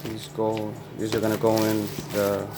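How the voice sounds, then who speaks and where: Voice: medium pitch, monotone, normal speed, background noise. A person narrating an unboxing in a casual, laid-back, informal way. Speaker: unboxing video host. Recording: loud music behind the voice.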